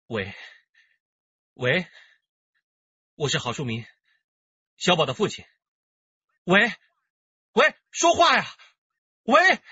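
High-voiced, jittery distraught father. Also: wildly swooping pitch, slow pace, long pauses, pushed and bright, husky, full character voice. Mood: nervous. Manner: nervous, agitated. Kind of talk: Chinese radio drama acting. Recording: dull radio-drama recording.

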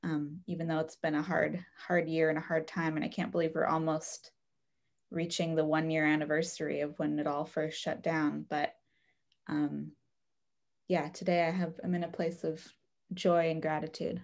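Low-pitched American woman, gentle and reflective. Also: flat delivery, normal speed, creaky. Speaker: participant in an online women's group. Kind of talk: personal check-in sharing, conversational. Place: indoor room at home, on a video call. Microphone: computer mic on video call.

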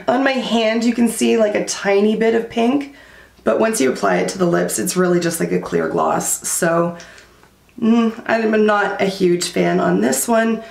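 Beauty vlogger, thirties, woman: husky and worn-out, frank and casual, filming in a roomy home space. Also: medium pitch, a little slow. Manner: chatty and candid, many likes and fillers. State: losing her voice. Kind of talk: casual product review. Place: small room at home, indoors.

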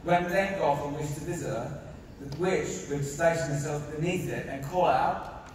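A man's voice: on the high side, moderate pace, noisy background, natural voice. A man playing a theatrical storybook narrator in a comedic live reading. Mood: storytelling. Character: storybook narrator in celebrity impressions. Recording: echoey hall, far off, crowd behind.

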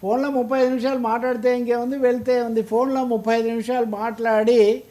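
A man with a very high-pitched voice and a Telugu accent delivers political commentary. He is emphatic and argumentative, often pressing his points with rhetorical questions. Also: level tone, fast, warm tone.

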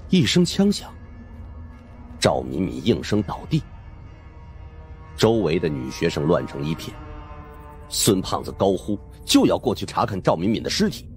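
A man narrating a suspense audiobook in the first person, with dramatic, animated delivery. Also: deep voice, moderate pace, pauses often, faint music behind.

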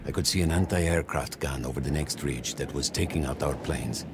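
An actor playing an aging Italian war veteran and father, telling his story in a measured, heartfelt way for a video game. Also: a bass voice, background noise. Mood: resolute, proud.

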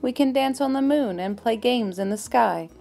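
A woman reading a rhyming children's book aloud with a measured, rhythmic delivery. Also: on the high side, vocal fry, mellow. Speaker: storybook reader. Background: faint music.

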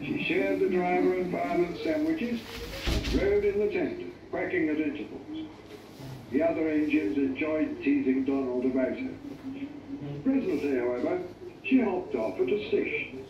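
A man slowly reading a children's railway story aloud, gently slipping into character voices. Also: on the high side, muffled recording, a crowd in the background. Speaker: storybook reader. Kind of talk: slow storybook read-aloud. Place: exhibition room with a crowd chatting nearby. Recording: distant echoey playback in a crowded exhibition hall.

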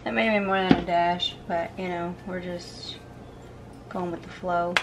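A woman's voice: fairly low pitch, steady tone, normal speed, very noisy. A young woman in her twenties chatting casually while cooking in her home kitchen, filmed on a camera set on the counter. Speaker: cooking vlogger.

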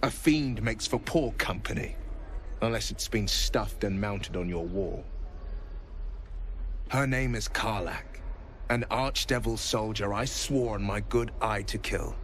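Low-voiced gallant young male hero. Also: measured pace, fairly bright tone, slight background noise. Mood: grim, resolute. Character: gallant, boastful young monster-hunting folk hero. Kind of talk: fantasy game voice acting.

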